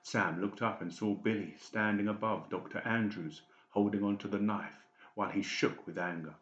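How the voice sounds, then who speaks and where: Casting: male audiobook narrator, deep voice, dramatic scripted read, roomy and slightly muffled sound. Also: steady tone, normal speed, a bit bright. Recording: muffled, echoey home recording.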